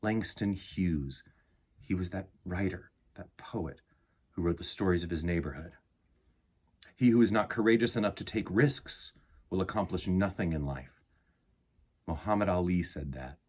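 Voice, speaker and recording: A man hosting a children's storytime, reading aloud and talking to young listeners in an earnest, emphatic, deliberate way. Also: sits low, breathy edge. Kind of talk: expressive storybook read-aloud and talk to children.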